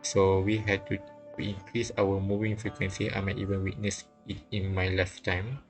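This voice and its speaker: A male streamer reading a visual novel aloud live, haltingly, with stumbles. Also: low-pitched, monotone. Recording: game music behind the voice.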